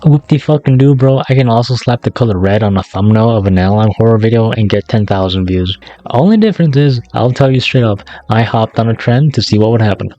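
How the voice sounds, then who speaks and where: Male video essayist, lower register, slangy and sardonic, casual scripted delivery, very dry recording. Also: fairly quick, mellow, dry recording, muffled recording. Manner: sarcastic, profane, slangy.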